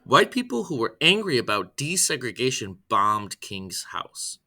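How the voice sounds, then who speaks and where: Bright, expressive man reading aloud. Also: medium pitch, unhurried. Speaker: reader, storyteller. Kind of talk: scripted read-aloud of a children's biography.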